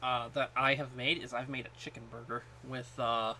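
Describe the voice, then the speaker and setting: A man with a mid-pitched voice chats casually as a podcast co-host. He speaks in a halting way, circling back over the openings of his phrases. A faint noise sits behind the voice. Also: flat delivery, bright, forceful tone. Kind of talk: casual chat about food.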